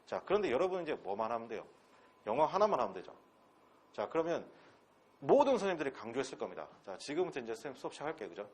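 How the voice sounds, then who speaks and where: A man's voice: mid-range voice, very animated, very fast, darkish tone, slightly rough. A man with a Gyeongsang accent from Pohang giving a classroom lecture as an English instructor. He talks bluntly and casually, with crude slang, and keeps throwing rhetorical questions at his students. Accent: Gyeongsang dialect. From Pohang, South Korea. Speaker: English instructor at a transfer-exam academy.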